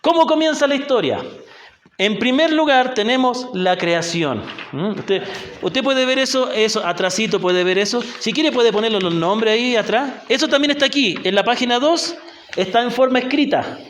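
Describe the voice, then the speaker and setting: A Chilean man with a high voice leads a Bible class as a missionary teacher. He talks patiently, putting questions to his listeners and checking that they follow. His voice carries through an echoey church hall. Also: expressive, moderate pace, a bit bright, a little hiss or hum. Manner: patient, asks the group questions, often checks with "¿ya?". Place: church hall in a rural community.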